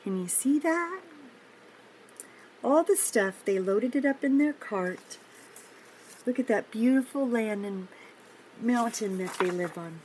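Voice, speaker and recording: An older woman reading a picture book aloud to children, pausing for simple, encouraging chatty asides about the pictures. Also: a little high, animated, a little mellow, slight background noise, rough recording. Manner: encouraging, explains pictures simply to young listeners.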